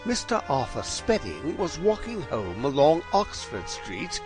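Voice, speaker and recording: Male actor for brilliant Victorian detective, audio drama: highish, very animated, unhurried and precise, self-assured. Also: music in the background. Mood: self-assured, explanatory. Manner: theatrical, precise, formal, explanatory.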